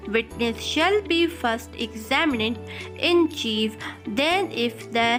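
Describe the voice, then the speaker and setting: Slow, measured woman lecturing on law. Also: fairly high pitch. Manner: reads English notes slowly, then explains in Hindi-Urdu. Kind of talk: exam-oriented law lecture reading notes.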